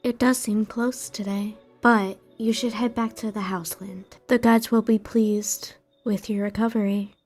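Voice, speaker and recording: A woman playing a caring young warrior and elder sister in a fan dub, gentle, solemn and protective. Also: medium pitch, fairly even intonation, unhurried, few pauses, faint music behind, natural voice. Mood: gently firm. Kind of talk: fan dub character acting.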